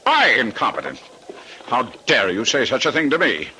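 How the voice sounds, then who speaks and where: Tormented doctor turned murderous fiend: intense, forceful, halting, vintage radio horror with light noise. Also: male voice, medium pitch, huge pitch swings, exaggerated voice. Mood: menacing fury. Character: tormented Victorian doctor turning into a menacing monstrous murderer. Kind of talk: old-time radio horror acting. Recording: noisy vintage radio recording.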